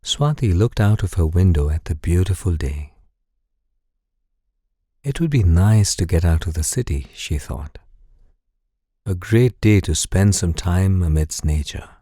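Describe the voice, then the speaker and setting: A man narrates a bedtime story in a very slow, calm and soothing way. His voice is breathy and soft-edged, recorded close and dry. He guides the listener gently, never hurried. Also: sits low, dry recording. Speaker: guided sleep meditation narrator. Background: music.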